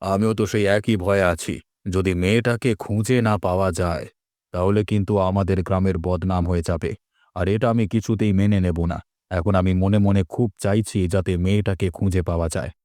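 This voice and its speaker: A man's voice: low-pitched, fairly even intonation, moderate pace, darkish tone, dry recording, studio quality, close to an everyday voice. A man voicing a scheming, menacing village bigwig in a cartoon, stern and self-important. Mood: guarded, feigned concern. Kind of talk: dubbed cartoon dialogue.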